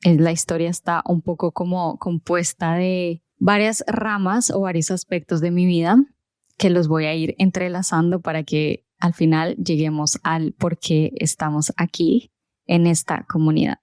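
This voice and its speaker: A woman's voice: on the low side, level tone, a little slow, darkish tone, clean tone, no echo, studio quality. A woman, hosting her own podcast and telling her personal story in an earnest, conversational way. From Colombia. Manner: conversational, earnest, with small fillers. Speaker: podcast host and health coach.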